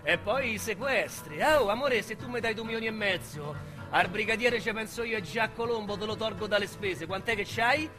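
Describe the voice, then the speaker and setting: An actor with a Roman accent playing a young, boastful, foul-mouthed street criminal in a radio drama monologue, with coarse slang and a swaggering delivery. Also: male voice, sits high, moderate pace, over music, natural voice. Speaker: narrator and lead character.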